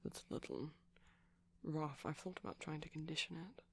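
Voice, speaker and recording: Male, shy gentle boyfriend character, ASMR rambling, breathy and husky, gravelly fry, frequent whispering, hesitant delivery. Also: fairly high pitch, steady tone, normal speed. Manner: hushed, often dropping into whispers, gentle and hesitant. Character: shy, gentle pastel vampire boyfriend showing off plush toys. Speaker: ASMR roleplay performer.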